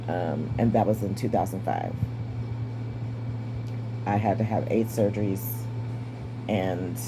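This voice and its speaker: A woman in her fifties with a raspy, strained voice and short breath, giving a candid personal update. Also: very deep voice, noisy background. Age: 50s. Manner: candid, wry, stays positive. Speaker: vlogger. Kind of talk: casual personal update to camera.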